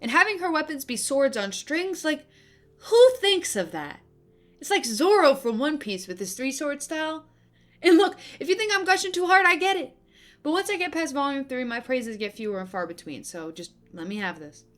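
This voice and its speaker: High-voiced young woman giving opinionated commentary. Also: moderate pace. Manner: expressive, emphatic, gushing and griping. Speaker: video essayist. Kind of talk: opinionated scripted commentary with casual asides. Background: background music.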